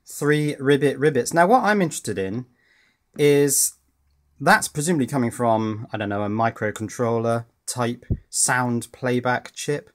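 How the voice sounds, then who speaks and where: A man talks through an electronics repair at his bench. His delivery is relaxed and wry, full of small pauses and "uh"s as he thinks aloud. He sounds like a hobbyist chatting to a camera. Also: medium pitch. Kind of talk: casual tinkering commentary. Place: indoors at a workbench.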